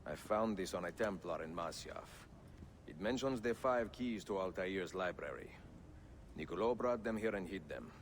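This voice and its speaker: Deep-voiced grave Italian assassin. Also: male voice, animated, moderate pace, a little hiss or hum. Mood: grave, businesslike. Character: middle-aged Italian assassin master, grave and weary. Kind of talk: dramatic game acting and letter narration.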